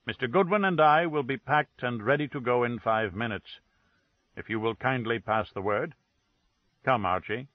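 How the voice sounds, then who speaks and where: A man's voice: medium pitch, moderate pace, no echo, highs cut off, close to an everyday voice. A man reading the lines of a proud detective who speaks sharply and formally.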